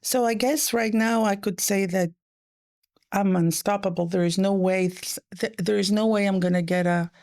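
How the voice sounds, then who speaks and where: A middle-aged woman with a gravelly, creaky edge to her voice, whose first language was French. She tells stories in quick, animated bursts and drops to a soft, near-whispered hush when quoting someone. Her voice sits in a middle range. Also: medium pitch. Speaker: guest, economist. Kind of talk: conversational interview.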